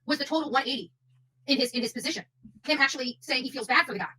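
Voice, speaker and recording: Woman on a livestream, bright forceful raspy voice, really emphatic, repeats herself. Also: high-pitched, rapid-fire, rough recording. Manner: emphatic, repeats phrases for stress. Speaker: livestream commentator. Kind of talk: unscripted livestream commentary.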